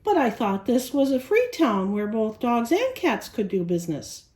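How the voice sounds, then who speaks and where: A woman's voice: fairly high pitch, very animated, moderate pace, a little mellow, echoey room. A woman voicing a cheerful, trusting male cat farmer in a children's storybook, polite and earnest.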